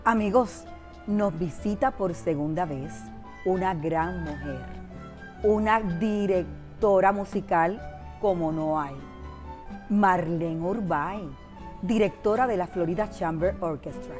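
A woman host introducing a guest on a studio show in a deliberate, announcing tone. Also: lowish voice. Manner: slow, announcing tone. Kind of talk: presenting a guest. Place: studio set, indoors. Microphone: lapel mic. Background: music.

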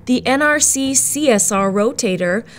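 A woman's voice: fairly high pitch, lively intonation, moderate pace. A woman host giving an upbeat, scripted promotional voice-over. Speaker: show host and narrator.

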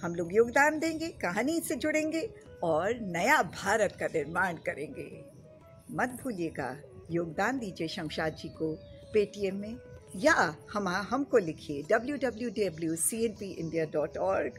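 Middle-aged woman host, animated pitch, unhurried, faint music, outdoors. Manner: lively, coaxing, enthusiastic. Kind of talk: animated show presenting. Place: outdoors by greenery.

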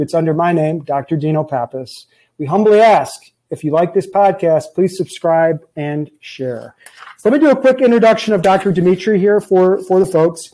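A man's voice: on the high side, dark tone, poor audio. A man in his forties hosting a podcast as a chiropractor, chatting upbeat and jokey over a video call with an earbud mic. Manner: upbeat, jokey, reads intro lists. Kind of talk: casual interview chat. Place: office with framed diplomas, indoors. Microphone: earbud headset on a video call.

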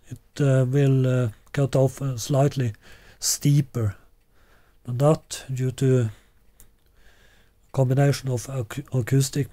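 A man in his sixties presenting a product in an unscripted way, halting and deliberate with long hesitations. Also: medium pitch, mellow, no echo, clean studio sound. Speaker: loudspeaker designer presenting a product. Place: small living room, indoors.

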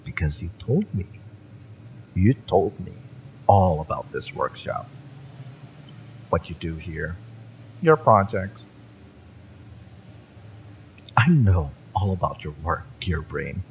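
A man playing a fiery, spurned mercenary ex-bodyguard in an audio roleplay, mocking and drawling with menacing intimacy and dramatic pauses. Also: deep voice, big pitch swings, a little hiss or hum, heavily acted, poor audio. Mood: menacing, smug. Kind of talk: scripted audio roleplay addressed to the listener.

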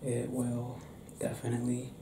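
A young man playing a tender, anxious werewolf boyfriend in an ASMR roleplay, breathy and hesitant, speaking intimately up close. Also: medium pitch, completely flat delivery, unhurried, background noise. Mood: uneasy, protective. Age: young adult. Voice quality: breathy, whispery. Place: small indoor room with pale doors. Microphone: close camera mic, within arm's length. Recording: roomy close-up home recording.

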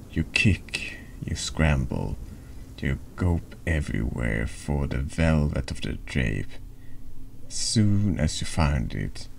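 A man's voice: a bass voice, creaky, slight background noise. A man streaming a horror text game, reading dramatically or chatting casually.

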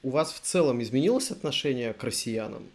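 Young man, host, interviewer, calm measured questions, webcam audio. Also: medium pitch. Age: young adult. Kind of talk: video call interview. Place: indoor room with framed pictures on wall. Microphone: webcam on video call.

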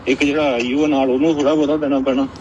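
Quick, curt man on muffled call. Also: mid-range voice, little pitch movement, dark tone. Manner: curt, insistent. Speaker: police officer. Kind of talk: phone conversation. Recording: dull noisy recorded phone call.

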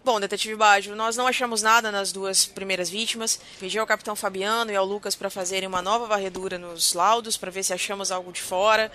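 A brisk, no-nonsense policewoman , played by an actress, in a radio play. She speaks quickly in a bright, tense voice. There is faint ambient noise behind her. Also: mid-range voice, steady tone, natural voice. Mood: urgent, determined. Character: brisk, no-nonsense policewoman leading a murder case. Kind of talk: audio drama acting.

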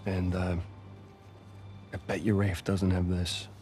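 An actor playing a scrappy middle-aged American treasure hunter in a video game, talking in a casual, halting, persuasive way. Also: male voice, deep voice, completely flat delivery, a little mellow, faint music behind. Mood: sly, coaxing. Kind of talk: naturalistic video game acting.

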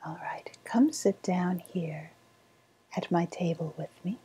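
A woman acts out a sly woodland witch who deals in dark love magic. Her voice is low and mellow, dissolving into a very breathy whisper. She coaxes slowly and deliberately, in the soft style of an ASMR roleplay. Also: exaggerated voice. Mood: conspiratorial. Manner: slow, deliberate, coaxing.

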